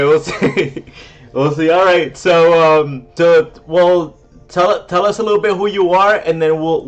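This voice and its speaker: A man with a Spanish accent hosting a video roundtable, excitable and full of fillers. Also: a little high, lo-fi sound. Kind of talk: casual chat. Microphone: video call.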